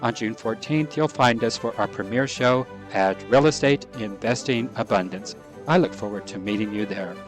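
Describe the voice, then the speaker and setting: A man's voice: on the low side, normal speed, faint music behind. Upbeat formal male podcast host. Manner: upbeat, formal, scripted introductions. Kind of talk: interview podcast.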